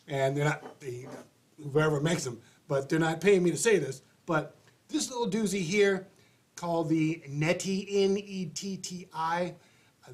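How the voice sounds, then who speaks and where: Guy hosting an advice show, stuffed up nose, rambly and chatty, slightly higher voice. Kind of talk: casual livestream monologue.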